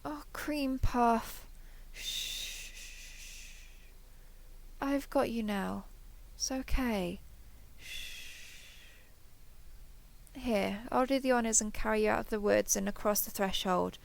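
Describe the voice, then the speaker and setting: High-voiced, clingy alien girl played by a woman. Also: takes it slowly, vocal fry, long pauses. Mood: soothing, possessive. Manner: earnest, wheedling, over-explaining. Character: sheltered young female alien, clingy, naive, obsessive yandere. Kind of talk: audio roleplay acting, speaking intimately to listener.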